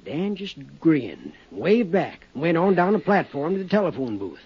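Folksy older mail man: high, husky, rambling drawl, animated delivery, vintage radio drama. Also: normal speed, heavily acted. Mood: folksy, chatty. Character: folksy, chatty rural railway mail man, older, unhurried. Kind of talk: 1950s radio drama acting. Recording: dull vintage 1950s radio recording.

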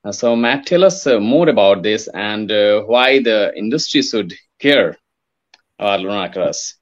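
A man with a lowish voice asks his questions haltingly, filling the gaps with uhs. His voice sounds dull and lo-fi. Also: lively intonation. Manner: hesitant, many uhs. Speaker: interviewer. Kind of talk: interview questions. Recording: dull lo-fi audio.

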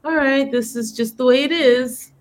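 A woman's voice: high-pitched, moderate pace. A woman from the Bronx with a New York accent, a podcast guest telling stories in a casual interview and voicing her past thoughts aloud. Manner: animated storytelling, quoting her own thoughts aloud.

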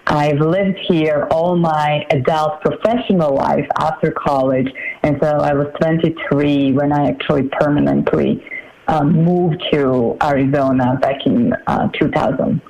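Woman from Slovakia, measured, halting. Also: low voice, steady tone, creaky, mellow, low-quality recording. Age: 40s. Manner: measured, many uh fillers. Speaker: congressional candidate. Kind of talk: political interview.

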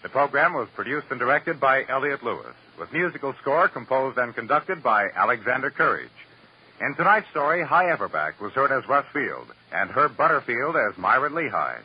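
A man's voice: medium pitch, sing-song, moderate pace, breathy edge. A male American announcer reading scripted credits and promos in a 1950s radio broadcast style. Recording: dull vintage radio recording. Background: music.

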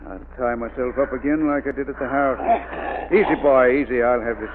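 A man playing a menacing, vengeful killer with folksy, rough grammar in an old radio thriller. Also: mid-range voice, steady tone, brisk, lightly acted. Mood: gloating. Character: vengeful, menacing murderer with a pet wolf. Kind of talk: 1940s radio villain acting. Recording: noisy, phone-like old radio recording.

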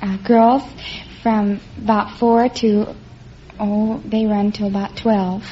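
Shy young woman, slow answers. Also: mid-range voice, level tone, slight background noise. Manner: shy, giggly, short answers. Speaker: contestant. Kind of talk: radio quiz show interview. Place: radio studio before a live audience. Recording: old lo-fi radio broadcast.

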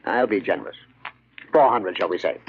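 An actor playing a sly, miserly shop dealer in a 1940s style radio drama, deliberate, smug and condescending. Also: male voice, low-pitched, expressive, a little hiss or hum. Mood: shrewd, haggling. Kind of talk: 1940s radio horror acting. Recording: dull, lo-fi old radio recording.

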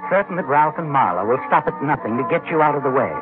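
An American actor as an ominous narrator of an old radio suspense drama, addressing the heroine directly. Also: male voice, fairly high pitch, animated, fast talker, over loud music, lightly acted. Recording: tinny old radio recording.

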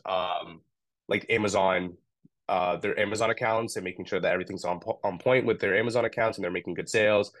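Young man, low voice, dry close sound, casual interview guest, lots of filler words. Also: no room sound. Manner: relaxed, rambling, many fillers like "um" and "like". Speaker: podcast guest, founder of a food retailer.

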